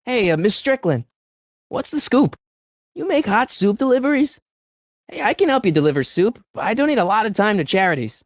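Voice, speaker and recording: A man's voice: sits high, big pitch swings, no echo, clean studio sound. A young man with an American accent voicing an earnest, anxious teenage boy in a video game, eager to persuade and often hesitant. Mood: friendly. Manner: hesitant, stammering, eager, fast-talking persuader. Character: earnest, anxious teenage boy hero of a time-travel adventure. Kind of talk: video game character dialogue.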